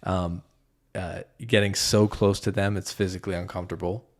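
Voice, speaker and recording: Podcast guest, male, deep and gravelly, halting and filler-heavy delivery. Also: level tone. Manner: hesitant, many fillers and restarts. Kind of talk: casual conversation.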